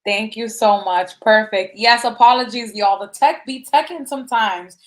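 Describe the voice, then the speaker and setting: A woman's voice: a little high, normal speed. A woman hosting a panel in a casual, chatty style, moderating between the guests.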